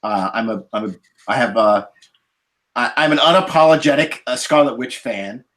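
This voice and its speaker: A man with a medium-pitched voice talks casually on a discussion podcast. He muses aloud, pausing and restarting as he shapes each thought. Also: a bit bright. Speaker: podcast panelist. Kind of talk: casual roundtable film discussion.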